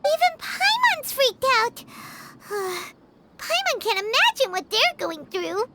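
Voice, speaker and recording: A woman voicing a tiny, excitable childlike fairy companion in a video game, squeaky and speaking of herself in the third person. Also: slow pace, very noisy, heavily acted. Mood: distressed. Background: music.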